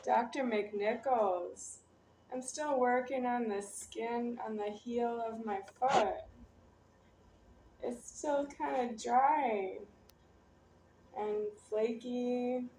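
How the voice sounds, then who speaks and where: A woman with a slightly high voice talks slowly in a rambling, halting monologue. She sounds set back from the mic in an echoey room, with faint noise around her. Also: fairly even intonation, darkish tone. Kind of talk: rambling monologue addressed to a doctor. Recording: roomy, distant, noisy home recording.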